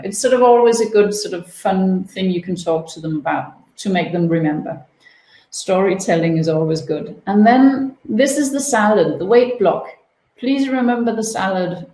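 A woman in her thirties presenting an online coding webinar from home over a webcam, explaining steps in a relaxed way with playful comparisons. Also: fairly low pitch. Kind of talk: online teaching demo. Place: home room, indoors. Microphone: laptop webcam video call. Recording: roomy echo.

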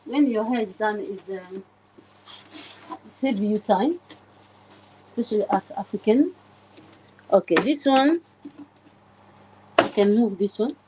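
A woman's voice: mid-range voice, takes it slowly, long pauses, dark tone, a little hiss or hum, low-quality recording. A woman in her forties chatting casually on a livestream while she cleans her oven, greeting viewers by name. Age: 40s. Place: home kitchen, indoors. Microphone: phone camera pointed at the oven.

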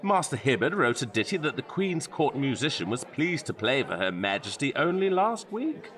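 A man's voice: medium pitch, very animated, normal speed, a bit bright, some chatter behind. A man playing a nonchalant, evasive Tudor court composer in a comic audio drama, flippant, airy and mock-earnest. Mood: breezy.

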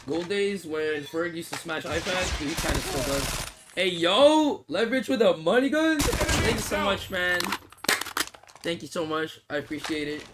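A young man with a fairly high voice talks in a relaxed, rambling way while he plays, answering chat between rounds. Game sounds and clicks stay clearly audible behind his headset mic. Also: moderate pace. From Philippines. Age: young adult. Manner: laid-back, rambling, reads chat aloud, swears casually. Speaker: mobile game streamer. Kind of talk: casual livestream chat while playing. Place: small room indoors. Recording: game audio noise behind voice.

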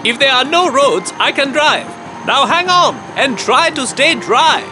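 A man's voice: very high voice, lively intonation, unhurried, over loud music. A man voicing an earnest, brave young boy who keeps rhinos in a children's cartoon. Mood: confident.

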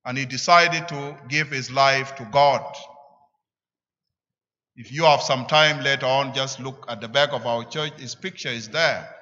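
A middle-aged man with a South Sudanese accent preaches a sermon deliberately, in short emphatic phrases, at a church lectern. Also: mid-range voice, fairly even intonation, bright, forceful tone. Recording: echoing church, slightly dull sound.

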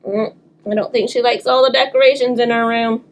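A woman in her thirties reading aloud expressively for children's story time, animated and talking directly to young listeners. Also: on the high side, fairly quick. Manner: animated, talks to young listeners, draws words out. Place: small indoor room.

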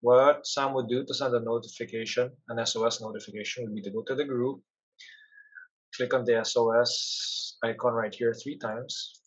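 A man presenting a software demo in an instructional, step-by-step explanatory style over a screen share. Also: medium pitch, steady tone, normal speed, slightly rough, dull audio.

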